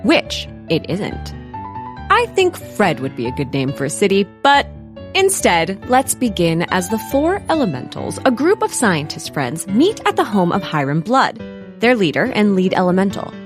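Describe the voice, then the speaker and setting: A woman's voice: medium pitch, wildly swooping pitch, normal speed, music in the background. A woman playing a whimsical, digressive narrator, telling a comic audio drama in a wry, theatrical way. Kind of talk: comic scripted storybook narration.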